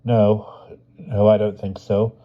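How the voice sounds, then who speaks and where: A man's voice: on the low side, level tone, slow. A man voicing a curt grown man who speaks in a muffled voice through a hurt mouth.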